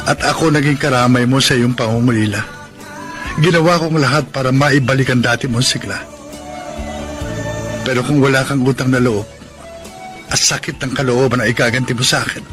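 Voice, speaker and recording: Male actor as heartbroken poor young farmer, Filipino accent, medium pitch, melodramatic, proud, radio drama, faint music behind. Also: a bit bright, lightly acted. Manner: melodramatic, earnest, self-pitying. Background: background music.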